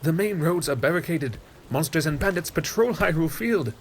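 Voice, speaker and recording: A man's voice: fairly high pitch, lively intonation, a little fast, a little hiss or hum. A man playing an earnest, courteous winged birdman in a fantasy audio drama, with a formal way of speaking. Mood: urgent. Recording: music under the voice.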